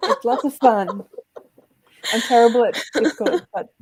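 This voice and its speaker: A woman's voice: fairly high pitch, expressive, a little slow, a little mellow, breathy edge, low-quality recording. A woman hosting a live show, chatting informally in a casual conversation about books. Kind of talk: casual book chat.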